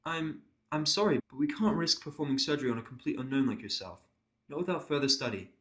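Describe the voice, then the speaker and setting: A man's voice: fairly high pitch, lively intonation, fast, pitched above the performer's own voice, natural voice. A man voicing a polite, timid female nurse pony, earnest and deferential, in an amateur audio drama. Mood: apologetic. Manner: deferential, explanatory.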